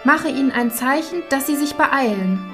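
A woman's voice: sits high, lively intonation, normal speed, fairly bright tone, soft background music, voice pushed up. A woman voicing a frightened young wife in a fairy tale, anxious, pleading and dramatic. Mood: anxious calling.

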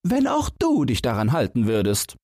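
A man's voice: mid-range voice, wildly swooping pitch, moderate pace, a little mellow, slightly rough, no room sound, studio quality, pitched above the performer's own voice, heavily acted. A man voicing a giant's kindly but firm wife in a fairy tale, protective and admonishing. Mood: scolding, pleading. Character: giant's wife, kindly firm woman scolding her husband.

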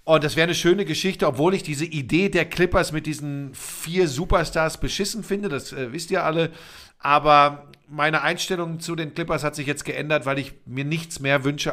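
A man's voice: a little high, a bit bright. A man in his fifties co-hosting a sports podcast, chatting casually with opinionated takes and teasing asides. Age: 50s. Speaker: podcast co-host.

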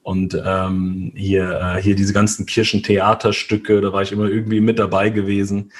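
A man's voice: fairly low pitch, monotone, normal speed. Hesitant man with frequent fillers. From Germany. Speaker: interview guest. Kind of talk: casual conversational interview.

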